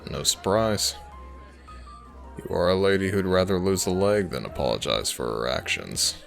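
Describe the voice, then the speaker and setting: Man acting a gravelly, deep, cocky werewolf rival. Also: normal speed, soft background music. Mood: flirtatious teasing. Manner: smug, teasing, theatrical. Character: cocky, flirtatious male werewolf from rival pack. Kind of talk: audio roleplay drama acting.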